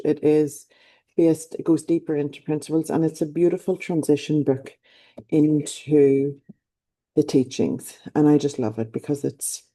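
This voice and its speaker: A woman's voice: low-pitched, mellow. A middle-aged woman with an Irish accent, giving an enthusiastic motivational talk as a personal development coach. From Ireland. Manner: enthusiastic, upbeat.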